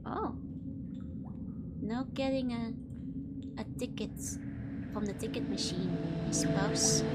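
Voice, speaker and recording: A woman streaming a game in casual chat, talking along with sudden excited exclamations and reading out viewer messages. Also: on the high side. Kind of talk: casual let's-play chat. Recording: loud game music behind the voice.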